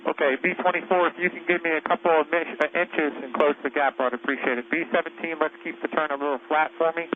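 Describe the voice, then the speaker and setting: A man's voice: a little high, fairly even intonation, fast talker, hardly any pauses. A man gives live, informal, encouraging instructions to pilots as an air boss over aviation radio. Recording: tinny, noisy aviation radio transmission.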